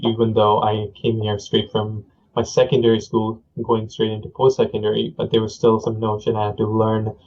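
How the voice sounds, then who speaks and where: A man's voice: fairly low pitch, darkish tone. A young man from India whose first language is Punjabi, speaking as a podcast guest in a thoughtful way, with many fillers and restarts. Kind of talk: conversational interview. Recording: dull lo-fi audio.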